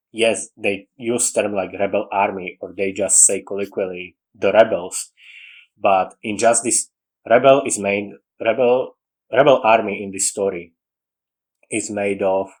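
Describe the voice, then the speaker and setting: A man's voice: fairly low pitch, low-quality recording. A man with a Slovak accent, a non-native English speaker, talking through his writing in a loose, rambling monologue. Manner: rambling, with frequent restarts and dropped articles. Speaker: writing podcaster. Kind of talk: casual monologue on story writing.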